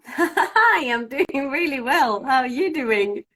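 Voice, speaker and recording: A woman's voice: high voice, animated, takes it slowly, bright, forceful tone. A woman from Cyprus whose first language is Greek, an English teacher hosting a casual live-stream chat and thinking aloud as she sorts out technical trouble. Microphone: phone.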